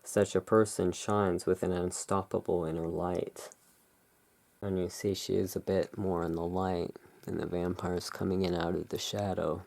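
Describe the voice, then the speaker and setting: An ASMR host speaking softly and musingly, describing and reading out cards in a hushed, unhurried roleplay manner. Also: low-pitched, flat delivery, creaky. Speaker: host, playing curiosity emporium owner.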